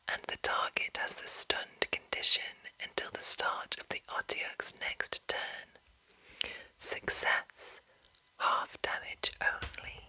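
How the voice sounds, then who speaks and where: A woman whispering an ASMR reading of a game manual in a breathy, unvoiced voice, deliberate, with pauses between short phrases. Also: bright, forceful tone, low-quality recording. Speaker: ASMR channel host.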